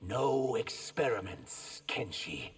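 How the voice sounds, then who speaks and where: An actor voicing an earnest, guarded warrior emissary in a fighting game. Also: male voice, fairly low pitch, very animated, takes it slowly, breathy edge, far from the mic, full character voice.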